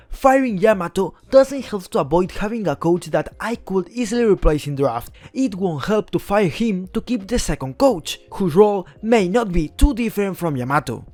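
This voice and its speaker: A man's voice: sits high, darkish tone, no echo. A young man from Spain with a Spanish accent, giving blunt, opinionated scripted commentary on esports teams as a YouTuber. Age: young adult.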